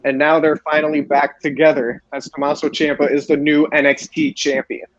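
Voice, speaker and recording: A man with a slightly high voice hosts a casual sports recap. He talks haltingly and repeats his phrases. The sound is dull and lo-fi, like an online voice call. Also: level tone. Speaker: podcast host. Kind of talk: casual wrestling show recap. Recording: roomy, dull lo-fi voice chat.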